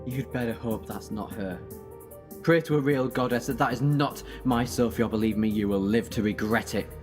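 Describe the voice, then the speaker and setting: A man's voice: mid-range voice, normal speed, close to an everyday voice. A man acting in an audio drama as an intense, protective, heroic time-travelling alien. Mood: angry, threatening. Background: music.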